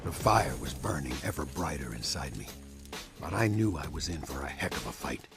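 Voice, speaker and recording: An actor playing a brooding, vengeful American gunslinger hero, narrating a game story in a grim, folksy, hard-boiled way. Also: male voice, extremely low pitch, sing-song, moderate pace, vocal fry, music in the background, exaggerated voice. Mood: burning resolve. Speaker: narrator and protagonist.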